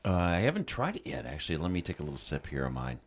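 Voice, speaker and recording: Man, producer, very low voice, wildly swinging pitch, easygoing, playful. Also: huge pitch swings, fast. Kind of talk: casual banter with the host.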